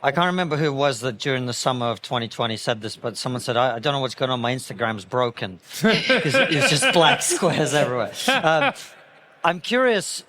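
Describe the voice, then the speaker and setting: A man's voice: medium pitch, animated, brisk, bright, forceful tone. A man co-hosting a live stage panel who jokes briefly and then asks probing, structured questions. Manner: jokey, then probing with two-part questions. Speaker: podcast co-host.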